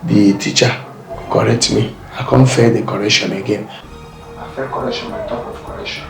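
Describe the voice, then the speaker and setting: A man with a Nigerian Pidgin accent playing a confused, bumbling young man who stammers, repeats words and bursts into loud exclamations, in a comedy skit. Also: sits high, moderate pace, heavy reverb, far from the mic, over music, lightly acted. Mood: sheepish.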